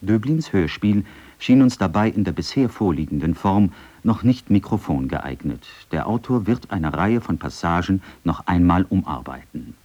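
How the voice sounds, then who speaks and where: A male radio director who explains his plans in measured, scripted statements. The voice is low and dark, and the sound is slightly dull. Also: lively intonation. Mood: measured, explanatory. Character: male radio director quoted from 1930 newspaper article. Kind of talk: scripted quotation reading.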